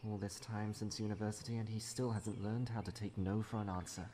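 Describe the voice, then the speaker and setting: A man's voice: lowish voice, level tone, a little fast, a little hiss or hum, close to an everyday voice. A man voicing a poised, wry female adventurer in a comic dub, speaking with dry, polite formality. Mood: wry, friendly. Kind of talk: amateur comic dub, narration and dialogue. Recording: loud music over the voice. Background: loud music.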